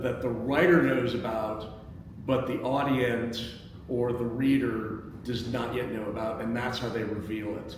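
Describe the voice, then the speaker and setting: A man hosting a screenplay table read, reading out and commenting, often hesitating and repeating words as he thinks aloud. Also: mid-range voice. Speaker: host and stage-direction reader of a screenplay table read. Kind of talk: reading action lines and giving feedback to a group. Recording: very echoey hall, distant mic, noisy.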